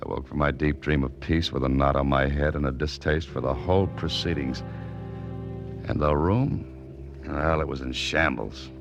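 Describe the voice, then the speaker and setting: A very low-voiced American tough guy tells his story in wry, clipped slang, in the style of 1940s radio mystery. The old recording is dulled, with music underneath. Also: lo-fi sound. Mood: wry, puzzled. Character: cool, wry, tough male adventurer narrating his exploits. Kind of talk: 1940s radio mystery acting, hardboiled narration.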